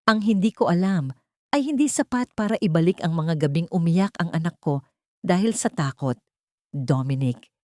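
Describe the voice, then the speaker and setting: Lady doing a hurt rich young mom out for revenge, audio story, lowish, dry sound. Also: expressive, moderate pace, a little mellow, dry recording, lightly acted. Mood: cold. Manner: cold, bitter. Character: cold, wounded, vengeful wealthy young mother. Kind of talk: audio story character dialogue.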